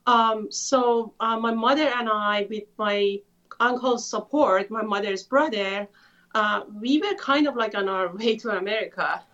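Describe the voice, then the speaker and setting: Woman from iran telling her story, kinda high voice, muffled lo-fi audio. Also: fairly bright tone. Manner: thoughtful, many filler pauses. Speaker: author, interview guest. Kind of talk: conversational storytelling.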